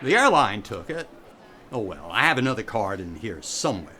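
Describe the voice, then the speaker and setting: An actor playing a vain, stingy middle-aged comedian, boastful and easily exasperated, in 1960s radio comedy style. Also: male voice, on the low side, big pitch swings, pushed and bright. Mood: indignant, hesitant. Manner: boastful, indignant, hesitant, drawn-out delivery. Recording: noisy, hissy radio comedy recreation.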